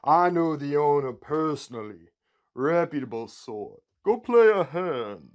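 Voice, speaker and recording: A man voicing a large, sinister catfish gambler in a suit, with a deep, slow Mississippi drawl and folksy, persuasive talk, as in a fantasy script reading. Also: animated. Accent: Mississippi southern drawl.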